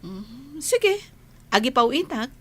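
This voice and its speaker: A woman's voice: high voice, very animated, takes it slowly, breathy edge, exaggerated voice. A woman playing a weary, self-sacrificing migrant worker in a radio drama, with a Filipino accent and a plaintive, yielding manner. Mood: resigned.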